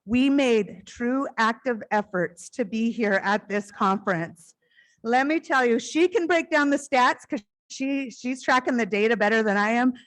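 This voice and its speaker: A middle-aged woman with a loud voice giving an earnest, heartfelt conference address into a stage microphone, sometimes speeding up in quick bursts. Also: a little high, rough recording.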